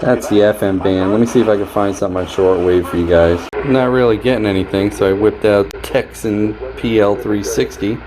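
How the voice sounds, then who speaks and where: A man's voice: on the low side, fairly even intonation, a little fast, hardly any pauses, a crowd in the background, rough recording. A man casually narrating his hands-on repairs in a wry, joking way. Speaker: host, DIY repair vlogger. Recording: clear background noise.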